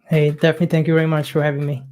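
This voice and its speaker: A man's voice: fairly high pitch, little pitch movement, darkish tone. Guy coach, young, lots of ums and uhs, casual chat. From Hungary. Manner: halting, many fillers, non-native phrasing.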